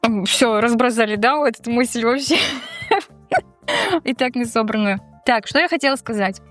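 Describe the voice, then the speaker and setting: A woman co-hosting a show in casual, animated, joking conversation, speaking colloquially. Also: a little high, normal speed, faint music behind. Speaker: co-host of a Tatar language-learning show. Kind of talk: casual playful chat and quiz banter.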